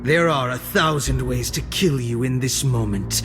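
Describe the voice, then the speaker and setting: A man voicing a cold, arrogant young assassin warrior in game voice lines, calm and cutting. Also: medium pitch, normal speed, close to an everyday voice. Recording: game audio over music.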